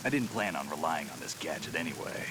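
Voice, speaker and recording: An actor playing a gruff middle-aged soldier on a video game radio call, speaking in a terse, dry, understated way. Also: male voice, very deep voice, expressive, fast talker, background noise.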